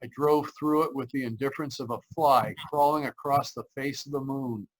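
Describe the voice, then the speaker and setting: An actor playing a frightened, desperate young driver in an old-style radio drama, halting and repeating his phrases. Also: a little high, expressive, creaky, poor audio. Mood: dread, unravelling. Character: frightened, desperate young man driving cross-country, haunted. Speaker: actor narrating and playing the lead. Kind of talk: 1940s radio drama acting. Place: remote live performance over video call.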